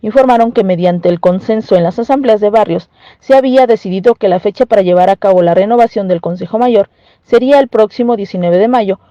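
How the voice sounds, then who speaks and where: A woman's voice: mid-range voice, a little fast, dry recording, highs cut off. A woman with a Mexican accent reading a scripted news report as a reporter.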